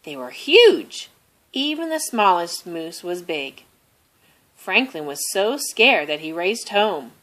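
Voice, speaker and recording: A woman reading a children's story aloud expressively, deliberately stressing phrases. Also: lowish voice, very animated, fairly bright tone. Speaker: storybook reader.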